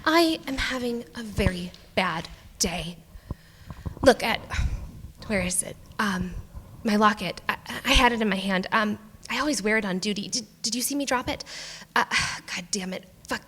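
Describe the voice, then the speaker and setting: An actress playing a weary, foul-mouthed small-island sheriff in a staged reading, stammering and halting in exasperation. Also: female voice, medium pitch, fairly bright tone, slight background noise, close to an everyday voice. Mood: flustered, anxious. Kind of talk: live staged play reading. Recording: echoey theatre hall, live stage.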